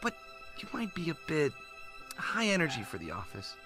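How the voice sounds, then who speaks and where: An overwhelmed cartoon father, anxious and awkward. His pitch swings all over as he stammers. Clear music plays behind him. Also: huge pitch swings, measured pace, bright, forceful tone, full character voice. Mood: awkward, placating. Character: nervous, overwhelmed human cartoon dad. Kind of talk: cartoon voice acting.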